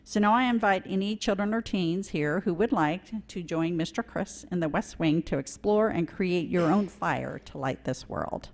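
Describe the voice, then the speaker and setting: A woman reading a children's story aloud during a church service, slow and expressive. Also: fairly low pitch.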